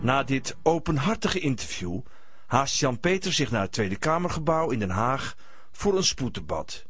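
A man's voice: mid-range voice, very animated, moderate pace, a bit bright, faint music behind. A man playing a mock-solemn narrator, reading scripted narration for a satirical radio play.

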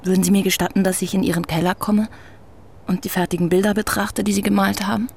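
A woman's voice: mid-range voice, level tone, gravelly, darkish tone, breathy edge. A young woman writer, polite and persistent, radio play. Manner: polite, measured questioning. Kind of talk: radio drama acting.

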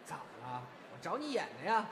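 Man playing a lively, cheeky young crosstalk comedian. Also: a little high, moderate pace. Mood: comic boasting. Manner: theatrical, teasing, playful. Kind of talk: crosstalk comedy dialogue in audio drama. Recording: loud background noise.

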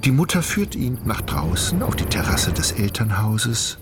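A man narrating a literary radio play in a measured, dramatic way. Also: medium pitch, big pitch swings, background noise.

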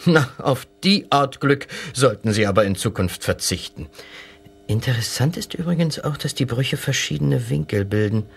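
An actor playing a dry, probing doctor with a wry, measured manner in a radio drama. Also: male voice, medium pitch, lively intonation, gravelly, husky. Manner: wry, measured, quietly suspicious.

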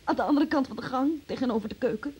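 Very high-pitched, tearful young woman. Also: quick pace, a little mellow, slightly rough. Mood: distressed, faltering. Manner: pleading, faltering, tearful. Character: frightened, tearful young woman under police questioning. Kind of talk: radio drama acting.

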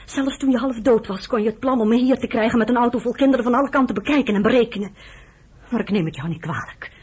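Tired bitter nurse in a radio play, really husky voice, reproachful and emotional. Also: female voice, a little high, quick pace, darkish tone. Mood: bitter, hurt. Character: weary, bitter woman nursing the man she loves. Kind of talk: radio drama acting.

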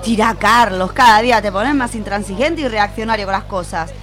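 A woman's voice: medium pitch, a little fast, lightly acted. A woman playing a protective, no-nonsense market vendor in an audio drama, music underneath. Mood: reassuring, brisk.

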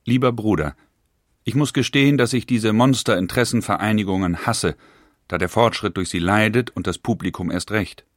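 A man's voice: fairly low pitch, moderate pace, no room sound, clean studio sound, close to an everyday voice. An actor reading the letters of a skeptical 19th-century industrialist to his brother, grave and reflective. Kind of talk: reading letters aloud in a radio documentary.

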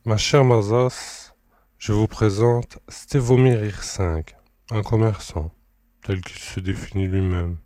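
An actor playing an ironic, commanding admiral of a space empire in a French audio drama, courteous and wry. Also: male voice, lowish voice, steady tone, slow, warm tone, no room sound. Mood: wryly amused.

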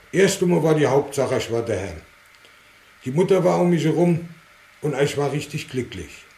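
A man's voice: fairly high pitch, a little mellow. An actor playing an earnest older village war veteran who recounts his past plainly, in a southwestern German dialect, in a radio drama. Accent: southwestern German regional dialect. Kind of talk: rustic spoken eyewitness recollection.

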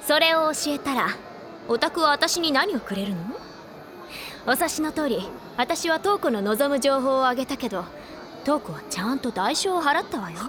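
A woman's voice: sits high, expressive, normal speed, over music. A woman playing a sly, self-assured senior schoolgirl who teases coyly in an audio drama. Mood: teasing, coy. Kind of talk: Japanese audio drama acting.

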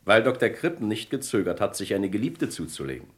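Low voiced posh gent in a radio play, suspicious, emphatic, voice moves around a lot. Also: male voice, very animated, a little fast. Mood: suspicious, insistent. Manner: formal, pointed, emphatic. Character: suspicious, insistent gentleman reporting a friend's death. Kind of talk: German crime radio play acting.

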